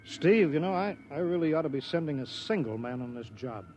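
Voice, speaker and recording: A man playing a brisk, anxious mission controller. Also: on the high side, expressive, darkish tone, slight background noise, close to an everyday voice. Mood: firm. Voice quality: brisk, clipped instructions. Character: earnest male mission controller, protective and anxious. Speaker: rocket test controller. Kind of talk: 1950s radio drama acting. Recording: dull 1950s radio broadcast.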